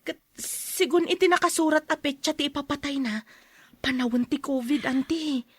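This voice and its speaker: A woman plays a helpful young niece accompanying her aunt in a radio drama. Her voice is very high, with an Ilocano accent. The sound is dry and close. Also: normal speed, no echo, close to an everyday voice. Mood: concerned.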